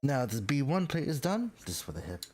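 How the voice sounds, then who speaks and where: A man's voice: mid-range voice, sing-song, brisk, darkish tone, slight background noise. A young man with a stuffy nose, chatting casually and rambling with lots of fillers while building a model kit. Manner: rambling, self-deprecating, full of fillers.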